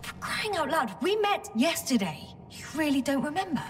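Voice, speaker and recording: A woman's voice: sits high, sing-song, moderate pace, distant mic, slight background noise. An actress playing a weary, resourceful female space scientist in a video game, speaking naturally and sometimes muttering to herself. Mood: exasperated, placating.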